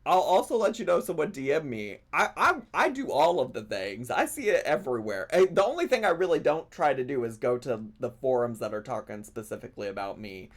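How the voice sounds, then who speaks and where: Man, gossip commentary host, sassy and chatty, swooping animated pitch, mid-range voice. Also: fairly quick, few pauses. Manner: sassy, chatty, laughs between remarks. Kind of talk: gossip commentary reacting to clips.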